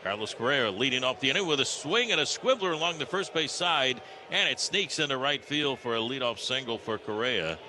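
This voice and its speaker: A man's voice: medium pitch, animated, a little fast, hardly any pauses, sharp tone. A man with an American accent calling live baseball play-by-play on the radio. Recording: ballpark ambience noise behind broadcast. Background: stadium ambience.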